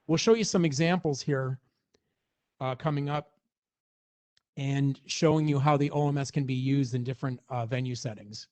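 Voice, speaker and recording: A man's voice: mid-range voice, moderate pace, long pauses, darkish tone. A man presenting a product walkthrough in an informal, explanatory way, as in a semi-scripted technical demonstration.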